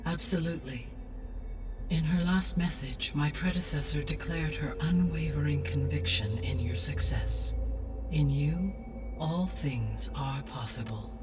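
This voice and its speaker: An actor voicing a calm, benevolent artificial intelligence in a video game, speaking formally and precisely in measured phrases. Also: mid-range voice, recorded from a distance, over music, natural voice. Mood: reassuring. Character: calm, benevolent female-named artificial intelligence overseeing Earth. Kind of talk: formal scripted game dialogue.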